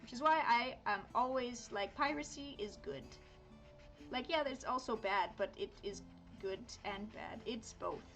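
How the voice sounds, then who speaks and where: Woman, 30s, from Miami, casual livestream chatter full of fillers, raised pitch, quiet music bed. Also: measured pace. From Miami, United States. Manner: rambling, chatty, lots of fillers and self-corrections. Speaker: art streamer. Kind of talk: casual chat while drawing.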